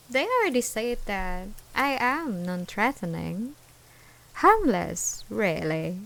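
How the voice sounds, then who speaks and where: A woman's voice: mid-range voice, gravelly. A woman acting an obsessed, menacing ex-girlfriend in an ASMR roleplay, with a mock-tender, taunting manner. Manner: mock-tender, taunting, breaking into whispers and laughs. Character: obsessed, menacing yandere ex-girlfriend stalking her lover.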